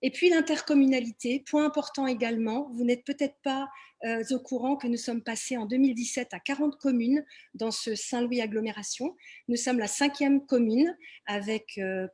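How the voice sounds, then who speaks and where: A woman with a raised, husky pitch presents as a local election candidate. She speaks partly off the cuff, addressing her listeners directly and leaning on the points that matter. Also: fairly even intonation, moderate pace, darkish tone. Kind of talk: public meeting presentation, partly improvised.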